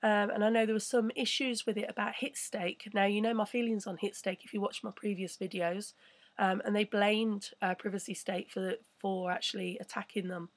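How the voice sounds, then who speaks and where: A woman hosting a casual live stream, chatting and rambling with a croaky voice. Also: mid-range voice, steady tone, normal speed, no room sound. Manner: chatty, rambling, reads viewer messages. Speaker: crypto channel host.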